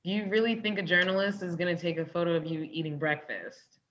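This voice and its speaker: A woman's voice: on the low side, brisk, a bit bright, slight background noise, lightly acted. A woman playing a dry, sensible, blunt girlfriend in a comedy script read, with slightly dull, lo-fi sound. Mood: dry, principled.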